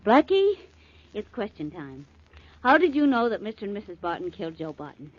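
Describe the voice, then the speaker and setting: A woman's voice: medium pitch, sing-song, brisk, no echo. A woman playing a playful, inquisitive detective's sidekick in a 1940s radio drama. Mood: curious, playful. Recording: muffled vintage 1940s radio recording.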